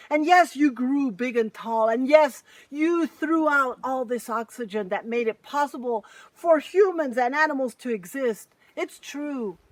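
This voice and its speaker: A woman's voice: dry recording, recorded from a distance, natural voice. A woman voicing a furious scolding mountain spirit. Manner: booming, scolding. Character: angry ancient male mountain spirit.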